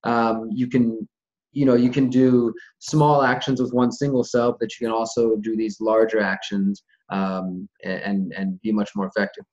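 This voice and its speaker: A man's voice: lowish voice, flat delivery, normal speed, a little mellow. An American man hosting a group conference call, explaining things informally and step by step in a conversational way. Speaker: host of a community organizing conference call. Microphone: conference call audio. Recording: dull, lo-fi conference call audio.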